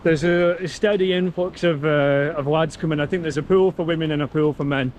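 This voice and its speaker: A man's voice: a little high, steady tone, brisk, a little mellow. A young man, chatting casually as a travel vlogger outdoors at hot spring pools. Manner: chatty, enthusiastic, repeats words like "really" and "super". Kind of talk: casual travel vlog chat. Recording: outdoor wind and water noise.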